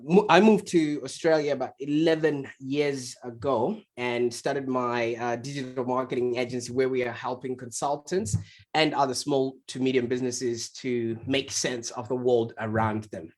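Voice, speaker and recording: A man from Zimbabwe, chatty and animated, telling his story as a guest on a call interview. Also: mid-range voice, normal speed. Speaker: guest, agency founder. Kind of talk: conversational interview over a call. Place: remote call.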